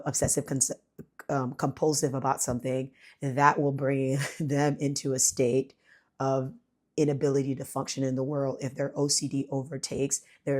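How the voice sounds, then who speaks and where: A woman with a hoarse voice from illness, speaking softly and thinking aloud in a rambling, reflective home monologue. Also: rumbling low. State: hoarse, losing her voice from illness. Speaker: vlogger. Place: at home, speaking quietly while her son sleeps.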